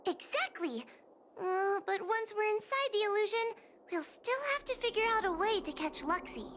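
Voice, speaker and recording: A bright, high, chatty young heroine. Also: female voice, animated, moderate pace, a little hiss or hum. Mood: earnest. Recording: game cutscene with music score. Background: music.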